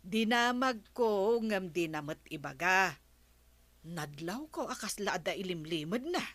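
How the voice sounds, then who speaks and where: A woman playing a chatty, inquisitive neighbour in an Ilocano comedy drama, expressive and full of exclamations. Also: medium pitch, a little slow, dry recording. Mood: gossipy.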